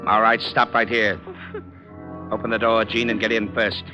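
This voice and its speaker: A man's voice: fairly low pitch, little pitch movement, moderate pace, natural voice. Man playing cold, muffled-sounding radio killer. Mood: curt, threatening. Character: cold, controlled male amateur killer, scheming office executive husband. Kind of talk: 1940s-50s radio detective drama acting. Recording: muffled old radio recording with music.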